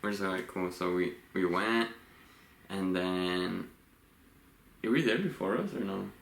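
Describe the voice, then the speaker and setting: A man in his late twenties from Los Angeles, co-hosting a couple's Q&A vlog in a relaxed, rambling way with frequent laughs. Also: low-pitched, unhurried, vocal fry. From Los Angeles, USA. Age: 20s. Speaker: vlogger co-host. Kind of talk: casual couple Q&A chat. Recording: echoey room with faint hiss.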